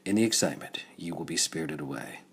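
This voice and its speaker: A cold, secretive plotter in a cowl, acted by a male audiobook reader. The voice is deep and husky. He lays out orders in a clipped, conspiratorial way. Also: fast, heavily acted.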